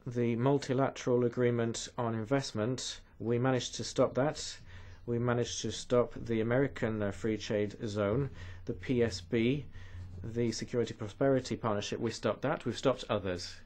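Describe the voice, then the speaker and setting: A man's voice: mid-range voice, steady tone, moderate pace, few pauses, darkish tone. A man from Canada giving an earnest, urgent talk against trade treaties at a conference. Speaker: conference speaker.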